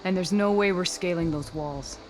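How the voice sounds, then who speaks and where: A woman's voice: on the low side, moderate pace, natural voice. Woman as loyal warrior, wry, self-assured, natural game-drama delivery, light music in background. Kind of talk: naturalistic video game voice acting.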